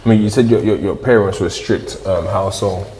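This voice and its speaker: Casting note: man, low and mellow, laid-back podcast host in an echoey room with faint hiss. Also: normal speed. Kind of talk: casual interview.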